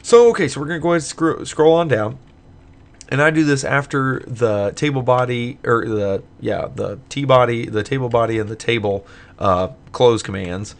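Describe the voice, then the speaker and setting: A man casually walking through code in a screen-recorded tutorial, his voice a little hoarse from a long night of recording, with many fillers as he thinks aloud. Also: mid-range voice, moderate pace. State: voice getting hoarse late at night. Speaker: tutorial maker.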